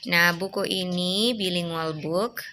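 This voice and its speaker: Woman, mother reading aloud, bright voice, coaxing questions, faint background noise. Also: lowish voice. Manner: slow, gentle, coaxing, asks the child questions. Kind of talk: bedtime read-aloud with questions to a child.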